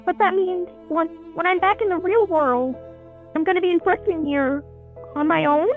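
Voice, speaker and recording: Woman voicing a very high-pitched young teen boy. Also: normal speed, narrow, tinny audio, faint music behind. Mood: hurt, upset. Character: young teen boy hero, earnest and aggrieved. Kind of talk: children's fantasy audio drama acting.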